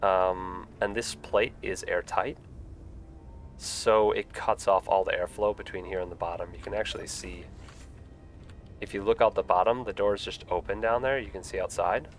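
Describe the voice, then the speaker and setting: A man's voice: low voice, normal speed, slight background noise. A young man with a stuffy voice from a cold, chatting casually with lots of fillers while live-streaming a building game. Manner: relaxed, rambling, frequent fillers.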